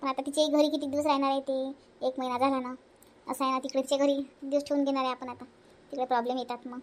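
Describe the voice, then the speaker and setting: Woman voicing gentle high-pitched elder sister-in-law, Varhadi Marathi. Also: level tone, very fast, lightly acted. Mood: wistful, musing. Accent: Varhadi Marathi from Vidarbha. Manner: conciliatory, hesitant, self-sacrificing. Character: Chhaya, gentle, dutiful eldest sister-in-law in a family. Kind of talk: homely family cartoon voice acting.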